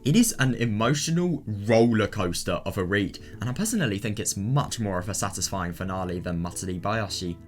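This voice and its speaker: A man's voice: fairly low pitch, animated, brisk, hardly any pauses, soft background music. A man presenting a scripted guide in an informal, opinionated way, giving personal recommendations.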